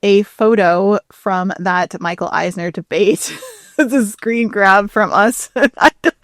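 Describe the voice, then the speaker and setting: A woman co-hosting a podcast in casual, animated chat, often giggling as she talks. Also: medium pitch, takes it slowly, no echo, clean studio sound. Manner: giggly, chuckles often while talking. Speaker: podcast co-host.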